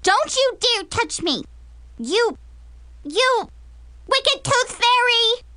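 A woman's voice: very high-pitched, expressive, drawn-out words, pushed and bright, no echo, pitched above the performer's own voice, exaggerated voice. A woman voicing a feisty, brave, mischievous little girl in a storybook, childish and emphatic. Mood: defiant screaming.

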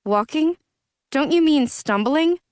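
A woman voicing a blunt young vampire high priestess who scolds and commands a friend. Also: expressive, takes it slowly, darkish tone, dry recording, studio quality. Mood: incredulous.

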